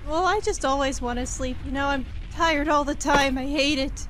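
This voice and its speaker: A tired, whiny, depressed cartoon character played by a woman in a comic dub. The voice is high and weary, with faint noise behind it. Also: normal speed, natural voice. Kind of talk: fandub comedy skit.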